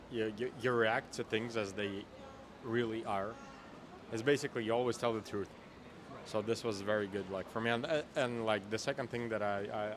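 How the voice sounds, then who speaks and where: A man's voice: fairly low pitch, noisy background. A man from Russia being interviewed on a busy street, hesitant and repeating words as he thinks. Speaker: tourist, doctor. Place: outdoors on a busy city street.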